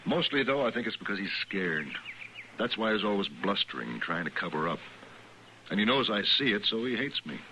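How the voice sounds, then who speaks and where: An actor playing a principled, bookish sheep rancher with an American accent, articulate and quietly defiant, in an old-time radio western. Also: male voice, lowish voice, sing-song. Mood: earnest, troubled. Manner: articulate, deliberate, earnest. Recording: noisy old radio recording.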